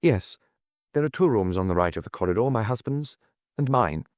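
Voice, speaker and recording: A man's voice: lowish voice, expressive, normal speed, a little mellow, no room sound, studio quality, lightly acted. A man voicing a young wife in an audiobook, a guarded, reluctant witness who answers hesitantly and tersely.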